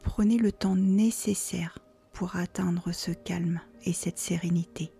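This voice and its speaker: A woman leads a guided relaxation in a soft, near-whispered voice. Her delivery is very slow and calm, with a mellow tone and a creaky edge. She gives soothing instructions with unhurried pauses. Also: fairly low pitch. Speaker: yoga nidra guide. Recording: faint music behind the voice.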